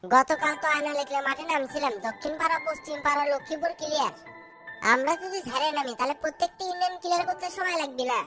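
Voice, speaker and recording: A scheming small-town cartoon politico man, acted out with a pitched-up voice, very high and fast. He blusters boastfully, with music behind him. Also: lightly acted. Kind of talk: cartoon comedy dialogue.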